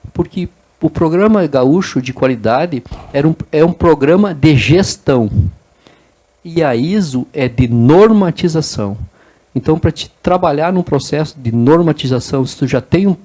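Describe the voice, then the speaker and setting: A man's voice: mid-range voice, a little mellow. A middle-aged man, a guest in an interview, explaining at length and tagging his sentences with "né?". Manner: explanatory, frequent "né?" tags and repeated words. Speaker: interview guest, business association president. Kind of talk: conversational interview.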